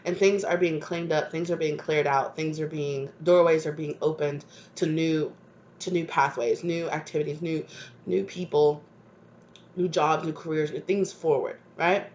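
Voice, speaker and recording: A woman's voice: low voice, steady tone, moderate pace, darkish tone, highs cut off, a little hiss or hum. Stuffed-up woman reading tarot. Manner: affectionate, repeats "right?" and "come on now". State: stuffed up from a cold. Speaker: tarot reader. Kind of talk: channeled tarot reading to viewers.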